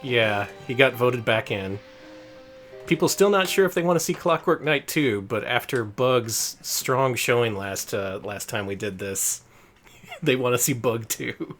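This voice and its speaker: A woman's voice: very deep voice, animated, measured pace, soft background music. A woman co-hosting a retro game stream, giving dry joking commentary. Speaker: stream co-host. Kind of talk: casual game stream banter.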